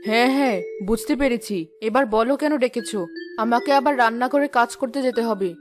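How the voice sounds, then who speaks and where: A woman's voice: fairly high pitch, a little fast, soft background music, close to an everyday voice. A woman playing an outspoken, practical middle-aged village wife and mother in a cartoon, with a matter-of-fact manner. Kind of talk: Bengali cartoon voice acting.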